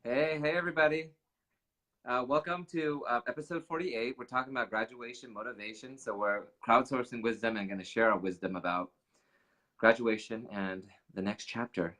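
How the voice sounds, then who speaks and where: A man's voice: mid-range voice, fairly even intonation, moderate pace, pushed and bright, low-quality recording. A man in his forties hosting a casual livestream chat from his living room over a video call, upbeat and chatty, slightly nasal from allergies. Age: 40s. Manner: upbeat, chatty, reads comments aloud. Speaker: host.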